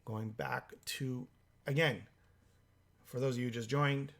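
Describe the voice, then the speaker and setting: A hoarse man with a cold hosts a live stream, reading out viewer comments in a halting way with many fillers. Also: mid-range voice, fairly even intonation. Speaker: livestream host.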